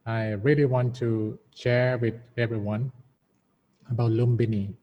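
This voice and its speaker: A Buddhist monk with a Thai accent who gives a measured, formal talk to a webinar over a video call. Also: male voice, medium pitch, level tone, long reverb tail. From Thailand. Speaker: Buddhist monk, guest speaker. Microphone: computer mic on a video call. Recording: muffled video-call audio with faint hiss.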